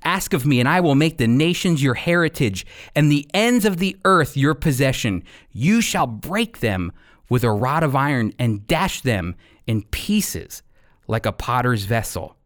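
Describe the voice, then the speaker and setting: Animated male preacher teaching scripture. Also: medium pitch. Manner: emphatic, deliberate delivery with dramatic pauses. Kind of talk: expository sermon with scripture readings.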